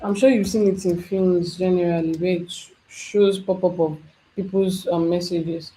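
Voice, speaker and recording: Mellow-voiced tutorial instructor. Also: mid-range voice, fairly even intonation, normal speed, slight background noise, rough recording. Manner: explains step by step, thinking aloud. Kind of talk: screen-share software tutorial.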